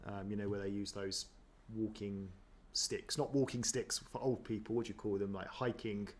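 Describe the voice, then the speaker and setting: A man's voice: fairly low pitch, flat delivery, moderate pace. A man chatting casually as he reacts to footage of himself, self-deprecating, with many ums and restarts. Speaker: running vlogger.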